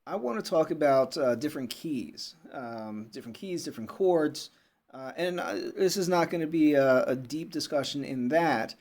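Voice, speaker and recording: Man explaining music with casual pauses. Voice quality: fairly low voice. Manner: relaxed and explanatory, with frequent "uh" and "okay?" check-ins. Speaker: music teacher. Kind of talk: unscripted video lesson.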